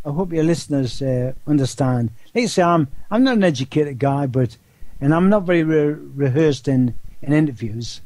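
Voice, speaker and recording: A man's voice: on the high side, lively intonation, moderate pace, a little mellow, no echo. An older man with a Geordie accent, a guest in an interview, talking in a rambling way with repeated words and rhetorical questions. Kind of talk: casual phone-style interview talk.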